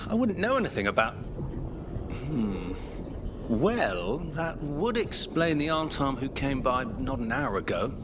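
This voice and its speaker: Animated, grumbling market vendor man. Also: mid-range voice, slow pace. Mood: grumbling, gossipy. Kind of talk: video game voice acting. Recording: clear street ambience noise.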